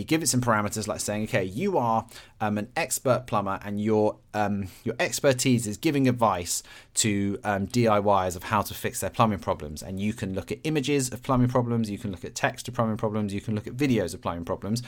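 Low-voiced man explaining things casually. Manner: plain-spoken, explaining in simple everyday terms. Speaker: podcast host. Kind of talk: casual explanatory podcast monologue.

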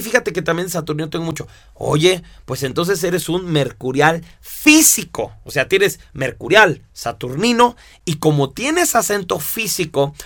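A man teaching a course, explaining step by step with lively rhetorical questions. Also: high-pitched, no room sound, studio quality. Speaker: instructor. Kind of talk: lecture to students.

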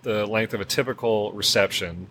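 A man's voice: lowish voice, fairly even intonation, normal speed, fairly bright tone, slight background noise. A man hosting a casual interview, chatty and informal, full of fillers. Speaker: podcast host in the wedding business.

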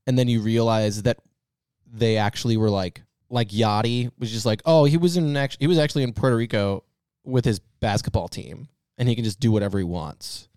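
Lively, emphatic man at close mic. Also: fairly low pitch, clean tone, no room sound. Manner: enthusiastic, emphatic, repeats himself. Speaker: podcast panelist. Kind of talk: casual comic banter, sports-draft parody.

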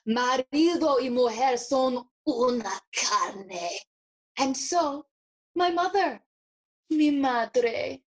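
A woman playing a sardonic, riddling young prince in classical audio drama, with a deliberate, taunting delivery. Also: on the high side. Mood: wry defiance. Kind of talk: classical theatre acting in audio play.

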